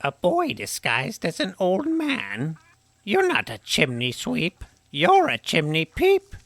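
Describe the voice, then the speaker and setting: A woman's voice: fairly low pitch, wildly swooping pitch, takes it slowly, fairly bright tone, no echo, exaggerated voice. A woman playing a cranky, suggestive old village woman in a fantasy audio drama, with a cackly, accusing delivery. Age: older. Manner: accusing, teasing, brusque.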